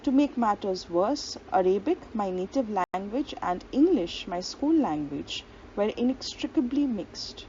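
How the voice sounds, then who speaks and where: A woman's voice: mid-range voice, lively intonation, measured pace, few pauses, warm tone. A woman giving an explanatory lecture who reads long quotations aloud and sometimes stops on a filler or restarts a phrase. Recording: dull lo-fi audio with faint hiss.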